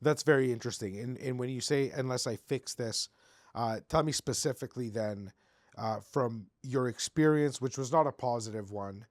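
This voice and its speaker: A man from Greece hosting a podcast interview, animated and building his points with rising emphasis. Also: medium pitch, normal speed, no echo, studio quality. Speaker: podcast host. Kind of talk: conversational interview.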